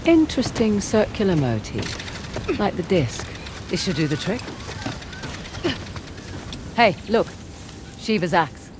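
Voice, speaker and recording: Wry adventurer with lively intonation, noisy surroundings. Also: female voice, mid-range voice, slow, long pauses. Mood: wry, focused. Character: composed, knowledgeable woman treasure hunter, quietly wry. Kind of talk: video game cutscene acting. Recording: clear outdoor ambience and vehicle noise.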